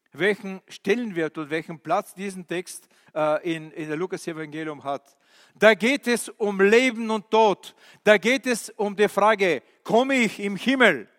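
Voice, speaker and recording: A male preacher, a non-native German speaker, giving an animated sermon with many questions to his listeners and hesitant fillers. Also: high-pitched. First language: not German. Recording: reverberant hall.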